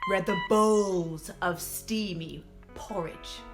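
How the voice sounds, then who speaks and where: A woman telling a rhyming children's story in a theatrical, expressive way. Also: on the low side, takes it slowly. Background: guitar music.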